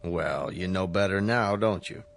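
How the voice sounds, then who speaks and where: A man's voice: low voice, a little slow, slight background noise. An actor playing a folksy, no-nonsense male museum guard in a radio drama, plain-spoken and casual. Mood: stern, dismissive.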